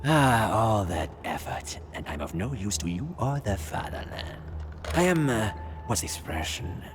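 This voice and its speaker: A man playing a smug, sardonic, dying prisoner of war in a video game, mocking and halting in his delivery. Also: lowish voice, sing-song, music in the background. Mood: wry, bitter. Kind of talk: cinematic video game acting.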